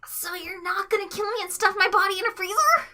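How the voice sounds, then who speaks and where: A woman's voice: fairly quick, exaggerated voice. A woman voicing a jittery, panicky teenage cartoon boy who stammers and yelps nervously. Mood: nervous, anxious. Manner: stammering, high-strung nervous yelling.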